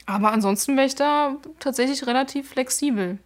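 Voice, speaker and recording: A woman's voice: high-pitched, a little fast. A woman in casual conversation, talking hesitantly with many fillers. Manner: hesitant, lots of fillers and restarts. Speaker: conversation partner. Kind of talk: casual chat.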